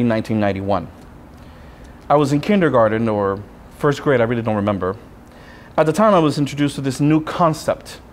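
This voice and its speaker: A man, a Spanish speaker, preaching a sermon as a friar with personal storytelling, in deliberate phrases broken by pauses. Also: mid-range voice, slight background noise. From Puerto Rico. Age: 30s.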